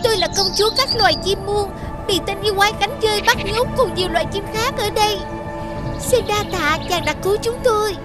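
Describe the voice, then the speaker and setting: Cartoon bird princess: female, childlike high pitch, formal, grateful, music underneath. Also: a little fast. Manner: pleading, formal. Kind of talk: Vietnamese animated fairy-tale acting. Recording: music behind the voice.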